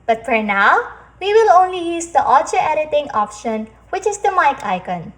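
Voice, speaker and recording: A woman's voice: sits high, sing-song, moderate pace, a bit bright. A woman reading a scripted how-to narration as a tutorial host, explaining in a step-by-step, instructional way.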